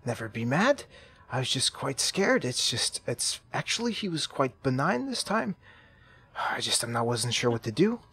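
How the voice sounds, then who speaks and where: A man's voice: mid-range voice, big pitch swings, moderate pace, fairly bright tone, slightly rough, no room sound, pitched below the performer's own voice, heavily acted. A man voicing a timid, polite, falsely cheery character, stammering with fussy, prim nervousness in an improvised game roleplay. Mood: anxious.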